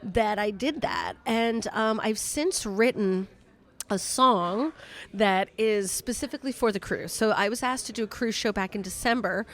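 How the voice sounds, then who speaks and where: A woman guest comedian telling stories in casual, animated chat, talkative and exuberant. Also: mid-range voice, a little slow. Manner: exuberant, self-confessed "a lot", talkative. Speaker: guest comedian and cruise ship entertainer.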